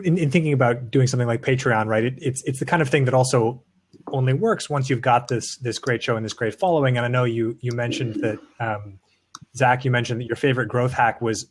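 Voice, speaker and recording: A man hosting a casual interview, repeating words haltingly as he works his way into questions. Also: mid-range voice, darkish tone. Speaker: podcast host, interviewer.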